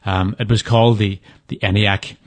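Hesitant man with a low, dry voice. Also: flat delivery, moderate pace, dry recording. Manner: hesitant, many restarts and fillers. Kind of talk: casual interview answers.

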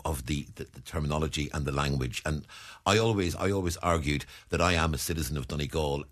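Deep-voiced Irish radio presenter. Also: male voice, a bit bright. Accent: Waterford Irish. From Ireland. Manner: chatty, repeats words when starting a thought. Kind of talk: radio interview.

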